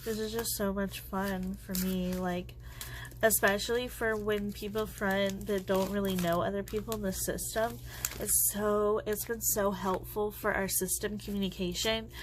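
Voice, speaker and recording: A woman's voice: medium pitch, slight background noise, poor audio. A congested woman chatting casually and rambling as she crafts at home, her thoughts often trailing off. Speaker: planner vlogger. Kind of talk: casual chat while crafting.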